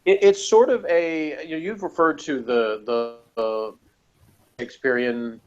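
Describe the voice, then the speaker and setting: A man's voice: fairly high pitch, steady tone. Man in casual chat, dull lo-fi sound. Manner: halting, rambling, self-correcting explanations. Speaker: podcast co-reviewer.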